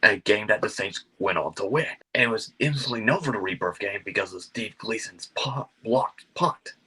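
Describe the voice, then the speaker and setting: A man's voice: lowish voice, lively intonation, moderate pace, sharp tone, husky, low-quality recording. A man from Alabama, answering as a sports fan guest in a brief, matter-of-fact way. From Alabama, United States. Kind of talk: casual sports interview answers.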